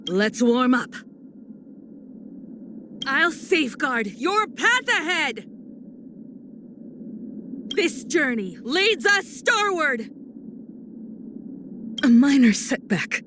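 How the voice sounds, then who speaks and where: A graceful woman who navigates a starfaring train in a video game. She speaks slowly with expressive swings in pitch, composed and kind, gently teasing yet steady. Faint noise sits behind the polished performance. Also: exaggerated voice. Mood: resolute, rallying. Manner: poised, unhurried, gently teasing and reassuring.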